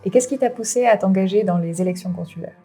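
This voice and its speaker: A woman's voice: mid-range voice, fast talker, mellow, faint music behind. A woman asking prepared questions as an interviewer.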